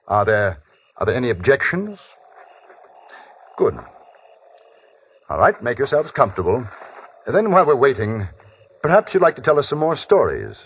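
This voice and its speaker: An actor playing a composed, wry English newspaperman in a radio western, with an English accent and measured, dryly ironic delivery. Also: mid-range voice, very animated, fairly bright tone, husky, heavily acted. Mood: cool, commanding. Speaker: lead actor and narrator. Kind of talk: 1950s radio western acting and narration. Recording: muffled vintage radio recording with music.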